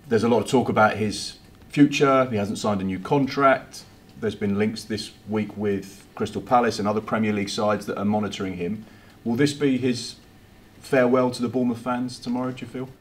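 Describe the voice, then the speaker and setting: A man working as a reporter, asking prepared, formal questions at a press conference. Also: fairly low pitch.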